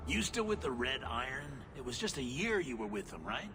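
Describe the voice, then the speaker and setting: A man's voice: medium pitch, sing-song, brisk. An actor playing a sly, chummy male dwarf who trades in information, in a video game. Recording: game audio with music underneath.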